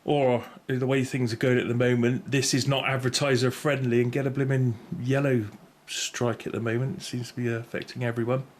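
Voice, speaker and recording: A man's voice: mid-range voice, flat delivery, brisk, few pauses. A middle-aged British man giving a casual, unscripted gear review in a rambling, tentative way, often correcting himself. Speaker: video host reviewing gear. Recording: clear background noise, room ambience.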